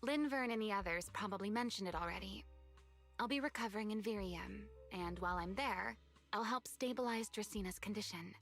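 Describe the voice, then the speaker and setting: Game role: actress as earnest, gentle young woman, mid-pitched, measured delivery, soft music underneath. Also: close to an everyday voice. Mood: solemn. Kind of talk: video game voice acting.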